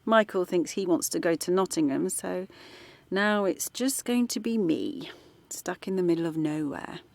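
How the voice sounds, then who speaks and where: Hushed middle-aged woman. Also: on the low side, normal speed, creaky, no echo. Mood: reflective. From London. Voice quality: soft, hushed late-night talk. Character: middle-aged former-activist art teacher woman, wry. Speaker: character in audio drama. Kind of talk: radio drama acting.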